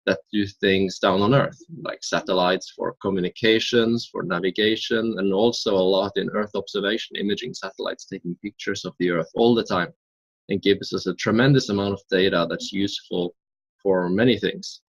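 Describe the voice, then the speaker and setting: A man's voice: deep voice, fairly even intonation, moderate pace, husky, no echo, poor audio. A young man in his twenties from Norway, answering interview questions in an earnest, thoughtful way with frequent hesitations. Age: 20s. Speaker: interview guest.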